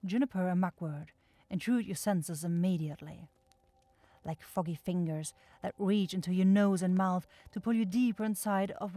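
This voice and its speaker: A woman's voice: mid-range voice, mellow, dry recording. A woman giving scripted second-person narration for a fantasy ASMR roleplay.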